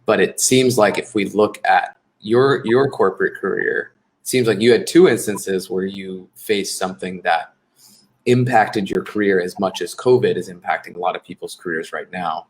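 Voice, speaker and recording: A man's voice: deep voice, steady tone, normal speed, rough recording. A man hosting an interview, listening closely and asking long follow-up questions. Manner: attentive, frequent short backchannels. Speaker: interview host, job coach.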